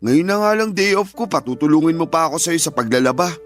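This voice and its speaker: Selfish young philanderer, radio drama; high male voice, whining and defensive over soft music. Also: animated, fast talker. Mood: whiny, demanding. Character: lazy, selfish young cheating husband, whiny and shameless. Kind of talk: Filipino radio drama acting.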